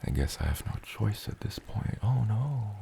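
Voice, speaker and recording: A man voicing a gentle, doting father dragon in an ASMR roleplay, murmuring slowly and tenderly and often dropping to a whisper. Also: sing-song, noisy background, full character voice. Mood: playful, teasing. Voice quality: very deep, gravelly. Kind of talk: ASMR roleplay, addressing the listener as his child.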